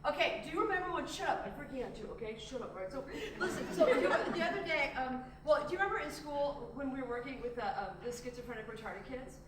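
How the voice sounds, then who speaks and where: A woman's voice: high voice, lively intonation, fast, few pauses. Woman doing a gushing fashion-world diva. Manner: loud, gushy, laughing, self-absorbed. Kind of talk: live stage character comedy. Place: theater stage. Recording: echoey theater from far off, noisy.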